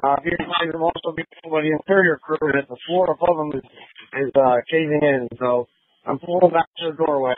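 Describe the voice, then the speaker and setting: A man's voice: on the high side, fairly even intonation, a little fast, dry recording. A man working as a firefighter, giving emergency traffic over a two-way radio. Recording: tinny narrowband fire radio.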